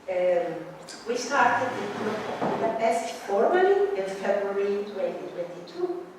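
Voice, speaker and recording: A middle-aged woman, a psychotherapist and mentor, giving an earnest, reflective conference talk. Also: lowish voice. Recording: echoey hall, mic far off, background noise.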